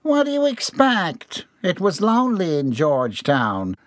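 A man puts on a clueless, whining employee for an audiobook, lifting his pitch well up. He sounds hesitant and confused, all feigned innocence, with lively swings in tone. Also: measured pace, no echo, studio quality, full character voice. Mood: sheepish, defensive. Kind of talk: dramatised audiobook dialogue.

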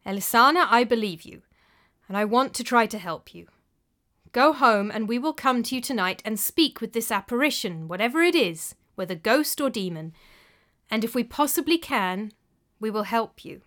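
A woman voicing a calm, authoritative male prior who speaks gently but firmly. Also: on the high side, moderate pace. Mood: reassuring. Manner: gentle, reassuring, firm.

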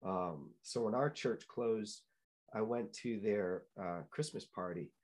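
Earnest young man, lower voice. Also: slow, darkish tone. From New England, United States. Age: young adult. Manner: earnest storyteller, many fillers and restarts. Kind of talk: casual interview chat.

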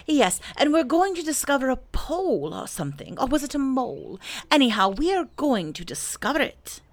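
A woman's voice: sits high, sing-song, moderate pace, dry recording, pitched above the performer's own voice. A woman voicing a simple, good-natured, eager male toy bear in a children's storybook, naive and muddled. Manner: naive, muddled, hesitant over long words.

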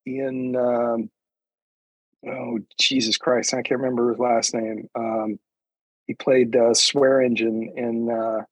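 Male panel guest, husky mid-range voice, conversational and rambling, often searching for words. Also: medium pitch, no room sound, low-quality recording. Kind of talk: casual chat.

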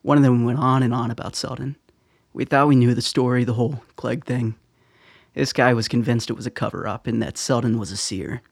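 A gruff intel officer in a radio play, gravelly n husky, terse, close dry sound. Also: male voice, mid-range voice, fairly even intonation, brisk, no echo. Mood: confident, terse. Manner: terse, matter-of-fact. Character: gruff, confident military intelligence officer, a man. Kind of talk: radio drama acting.

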